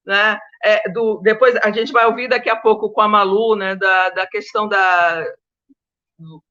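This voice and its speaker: This is a Brazilian woman giving an informal academic talk on a panel. Her voice is bright and forward, though the recording dulls it a little. She is conversational and emphatic, wandering into asides and checking that listeners are still with her. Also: mid-range voice, steady tone, measured pace, muffled recording. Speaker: historian of science, panel guest.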